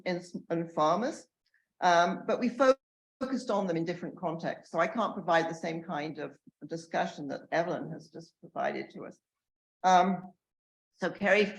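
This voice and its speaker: Older woman giving a panel talk, loud and earnest, lots of ums, roomy echo. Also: medium pitch. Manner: loud, earnest, with frequent fillers and restarts. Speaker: panel speaker, emerita professor. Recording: echoey room.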